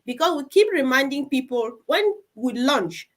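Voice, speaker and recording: A woman with a fairly high voice hosts a live online group meeting. She talks to the participants in an encouraging way and is generous with her thanks. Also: lively intonation, normal speed. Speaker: host of an online team meeting.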